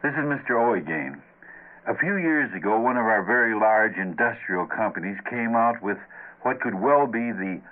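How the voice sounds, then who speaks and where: A man hosting old-time radio plays with wry, teasing, conversational narration. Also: medium pitch. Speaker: host and writer of radio plays. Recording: slightly dull old radio recording.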